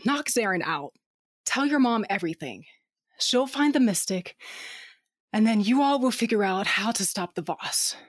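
A woman's voice: fairly high pitch, animated, normal speed, no room sound. A woman narrating and acting a space adventure audio drama in the first person, with a dry, wry and determined manner. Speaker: narrator and lead character.

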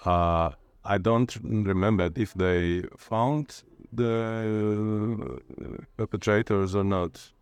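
A man's voice: on the low side, fairly even intonation, takes it slowly, smooth, no echo. A man with a Latvian accent giving informal interview answers in a hesitant way, often groping for words. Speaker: interviewee, playwright and literature lecturer.

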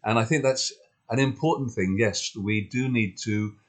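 A man, an experienced storyteller, speaking in a measured, encouraging way on a podcast. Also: lowish voice. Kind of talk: podcast discussion.

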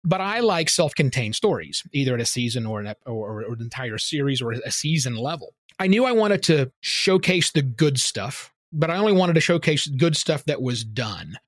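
A man's voice: mid-range voice, sing-song, moderate pace, hardly any pauses, dry recording, studio quality. A man talking as a podcast guest, explaining things in an enthusiastic, casual way. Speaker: podcast guest, newsletter curator. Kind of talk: casual interview talk.

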